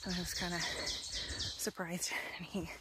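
A woman's voice: fairly low pitch, little pitch movement, a little slow, very noisy. A woman in her twenties vlogging while thru-hiking a wooded mountain trail, talking casually into a phone held at arm's length, with lots of ums and some near-whispered asides. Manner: chatty, with frequent ums, drops to a near whisper at times. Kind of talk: casual vlog narration. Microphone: phone camera held at arm's length.

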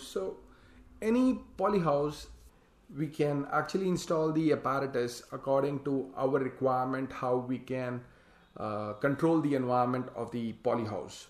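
A man talks through a slide presentation, reading each slide aloud and then adding his own plain explanations. His voice sits at an ordinary middle pitch. The recording carries a noticeable roomy echo. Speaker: hydroponics farm consultant presenting slides.

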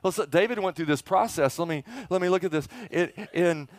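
Middle-aged male pastor, slightly raised pitch, dry headset sound; informal, joking, interactive sermon delivery. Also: a little fast, dry recording. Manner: folksy, joking, asks the audience rhetorical questions. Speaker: pastor preaching to a congregation. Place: church auditorium stage, indoors.

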